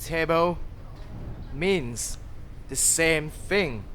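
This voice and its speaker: A man's voice: fairly high pitch, animated, extremely slow, a bit bright, exaggerated voice. A young man acting a hesitant, thoughtful linguist in an audio drama. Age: young adult. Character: hesitant, thoughtful speaker of several languages. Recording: faint background noise, home recording.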